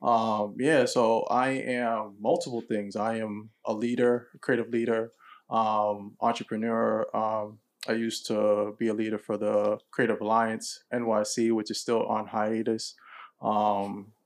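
A young man from New York hosting a casual podcast, talking in a relaxed way with lots of ums. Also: fairly low pitch, measured pace. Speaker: podcast host.

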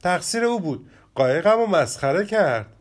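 A man voicing a proud, quick-tempered young rabbit boy in a children's storybook reading, speaking with emphatic indignation. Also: high voice, lively intonation, pitched above the performer's own voice. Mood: upset.

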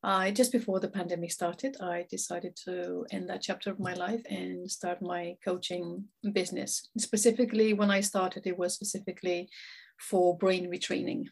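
A woman from Romania speaks with a husky, slightly breathy voice. She is a guest on a podcast, a coach who talks earnestly, often repeating words as she gathers her thoughts. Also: lowish voice, steady tone, moderate pace, rough recording. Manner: earnest, repeats words while searching for them. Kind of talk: podcast interview, personal story.

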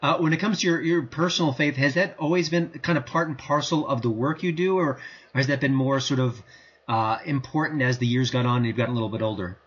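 A male host talks easily and asks loose, rambling questions. His voice is husky and a little breathy. The audio is dull and lo-fi. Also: medium pitch, fast. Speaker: podcast host. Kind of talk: conversational interview.

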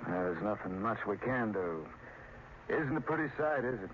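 An actor playing a grim, stubborn small-town sheriff in an old-time radio drama, with a folksy, plainspoken manner. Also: male voice, lowish voice, animated, moderate pace, natural voice. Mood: grim, determined. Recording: noisy, muffled old radio recording.

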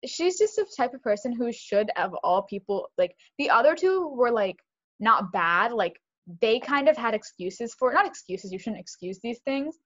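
A young woman co-hosting a podcast, talking fast and animated in casual chat, with lots of "like". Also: fairly high pitch, lo-fi sound. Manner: fast, animated, heavy use of "like". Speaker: podcast co-host.

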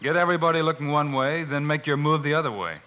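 Bright-voiced, forceful Western marshal. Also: male voice, fairly high pitch, fast talker. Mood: calm, shrewd. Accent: American. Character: calm, shrewd heroic Old West marshal. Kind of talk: old-time radio Western acting.

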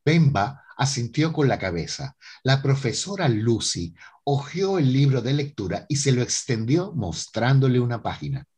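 A man's voice: mid-range voice, big pitch swings, moderate pace, hardly any pauses, darkish tone, slightly rough. A man narrating a scripted radio drama as its storyteller.

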